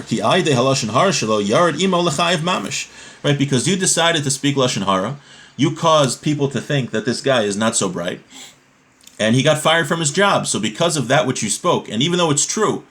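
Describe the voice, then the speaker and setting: A male religious teacher with an average-pitched voice gives a lesson on a text. He reads out short passages, then translates and explains them. His manner is didactic, and he often checks in with the listener. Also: a bit bright.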